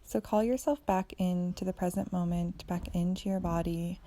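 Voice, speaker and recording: A woman teaching yoga and guided meditation in a soothing, measured way with deliberate phrasing. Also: fairly low pitch, fairly even intonation, warm tone, a little hiss or hum.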